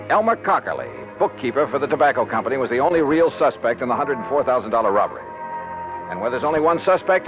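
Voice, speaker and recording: An actor playing a hard-boiled, skeptical insurance investigator in a 1950s radio drama, clipped, pressing and wry. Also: male voice, medium pitch, natural voice. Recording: muffled vintage radio recording.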